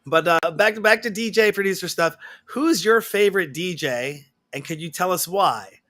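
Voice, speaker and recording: Male host with a bright, slightly high voice, reads viewer questions in a casual chat. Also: normal speed. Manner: friendly, reads viewer questions, loose rephrasing. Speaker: interview host.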